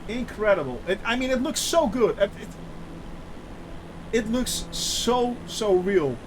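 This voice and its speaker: Chatty Dutch-accented man, high voice. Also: animated, measured pace, background noise. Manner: chatty, many filler "uh"s, reads and answers chat. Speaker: flight sim streamer. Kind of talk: casual live-stream chat.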